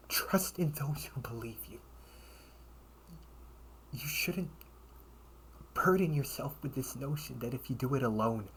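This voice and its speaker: A man playing a loyal knight comrade, earnest and encouraging, in an intimate dramatic audio roleplay with halting, breathy phrasing. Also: medium pitch, slight background noise, natural voice, low-quality recording. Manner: slow, halting delivery with breathy, hushed phrases.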